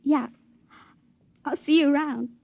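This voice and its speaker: Lovelorn young woman, vintage radio style, high mellow voice, hesitant and earnest. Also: fairly even intonation, a little slow, gravelly, dry recording. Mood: hurt. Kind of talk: vintage radio drama acting.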